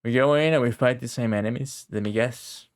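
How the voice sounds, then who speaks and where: A man's voice: mid-range voice, a little fast. A young man giving casual, slangy live commentary while streaming a video game, muttering asides to the game.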